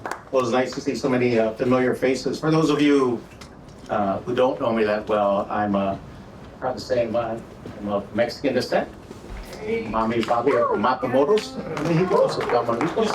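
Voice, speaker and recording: A middle-aged man with a Minnesota accent gives an informal talk at an awards event, pitched in a medium register. He speaks in a friendly, halting way, leaning on "uh" and "right?". His voice is picked up from a distance in a reverberant room with faint noise behind him. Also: crowd noise. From Texas, raised in Minnesota. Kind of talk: casual speech to an audience. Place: event hall. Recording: echoey room, voice from far off.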